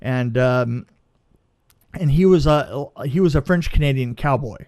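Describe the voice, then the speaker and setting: A man's voice: mid-range voice, a little slow, a little mellow. A man telling a casual anecdote as a film crew guest, stammering and repeating words as he goes.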